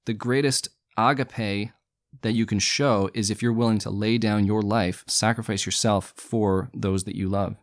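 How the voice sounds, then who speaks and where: Male co-host, lowish voice, measured and teacherly, dry studio sound. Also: steady tone, no echo. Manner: measured, teacherly, explains word roots. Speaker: podcast co-host. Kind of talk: explanatory Bible study talk.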